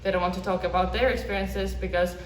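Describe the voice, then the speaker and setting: A woman's voice: lowish voice, flat delivery, quick pace, a little hiss or hum. Echoey-sounding woman from Estonia. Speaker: interviewer and interviewee. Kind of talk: interview answers. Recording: echoey room.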